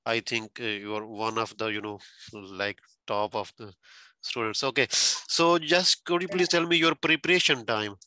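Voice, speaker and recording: Man, tutor host, halting speech, frequent fillers, forceful tone, dry sound. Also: mid-range voice, dry recording. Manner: hesitant, full of "you know" fillers. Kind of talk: casual online interview.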